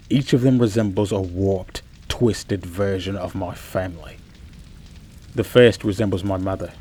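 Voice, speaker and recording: A low-voiced man played by an actor narrates his own eerie tale for a radio play. He is chatty and bewildered, with theatrical pauses and conversational asides. Faint noise runs behind the voice. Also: a little mellow. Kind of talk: first-person scripted story narration, radio play.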